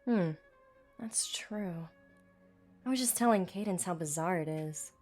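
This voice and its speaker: A woman's voice: lowish voice, unhurried, a little mellow, soft background music. A woman voicing a frank, casual desert mercenary in an amateur game dub, explaining things in a conversational, reflective way. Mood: thoughtful.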